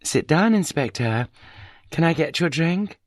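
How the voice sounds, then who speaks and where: A man's voice: a little high, lively intonation, moderate pace, no echo, pitched above the performer's own voice, lightly acted. A man voicing a boozy, self-mocking woman with a wry, loose way of talking. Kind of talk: audiobook character voice.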